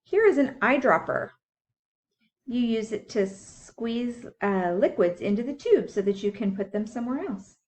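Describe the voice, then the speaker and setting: A woman's voice: fairly high pitch, expressive, a little slow, a little mellow. A woman teacher reading a science book aloud for young children, explaining things simply and putting questions to her listeners. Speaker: school teacher.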